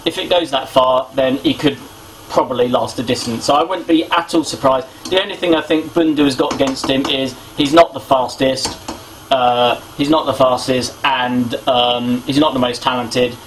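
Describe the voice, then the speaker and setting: A young British man giving casual sports opinions as a vlogger, haltingly thinking aloud, recorded in a home kitchen on a camera mic. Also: medium pitch, steady tone, rough recording. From Britain. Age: young adult. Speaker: boxing vlogger. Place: small domestic kitchen indoors. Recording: clear background noise, homemade camera audio.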